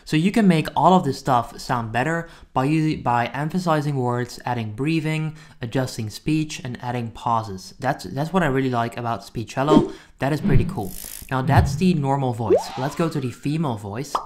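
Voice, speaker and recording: Male tutorial host, informal and chatty, walking viewers through software in a medium-pitched voice. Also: normal speed, slight background noise. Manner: informal, addresses viewers as guys. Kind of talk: casual screen-recording walkthrough.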